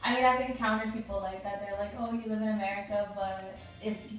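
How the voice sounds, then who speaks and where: A woman's voice: medium pitch, fairly even intonation, brisk. A woman answering interview questions in a chatty, casual way, laughing often and saying "like" a lot. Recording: echoey room from far off, faint music.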